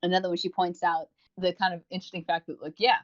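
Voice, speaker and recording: A woman's voice: fairly low pitch, flat delivery, fast talker. A woman co-hosting a podcast, giving casual, wordy commentary in an informal, rambling way. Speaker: podcast co-host. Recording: dull lo-fi voice recording.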